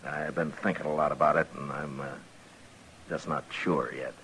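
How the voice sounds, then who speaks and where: Male, deep-voiced calm western lawman, plain-spoken and hesitant, radio drama with faint noise. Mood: guarded, apologetic. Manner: measured, hesitant, dry. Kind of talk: old-time radio western acting. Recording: noisy old radio drama recording.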